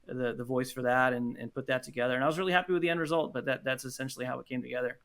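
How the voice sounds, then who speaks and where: A man with a bright, forward voice chats casually as an interview guest. He tells his stories informally and with enthusiasm, at a middling pitch. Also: fairly even intonation, racing. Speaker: podcast guest, audio drama creator.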